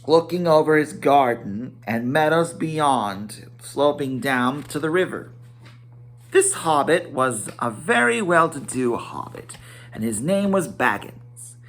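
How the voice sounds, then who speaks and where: Slow, theatrical male storybook reader. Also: mid-range voice, fairly bright tone. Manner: theatrical, emphatic, with occasional stumbles. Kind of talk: dramatic read-aloud of a children's fantasy novel.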